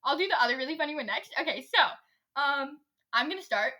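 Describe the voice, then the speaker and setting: Young teen girl, fairly high bright voice, casual chatty storyteller, slangy, self-interrupting, podcast co-host. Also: lively intonation, fast talker. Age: young teenager.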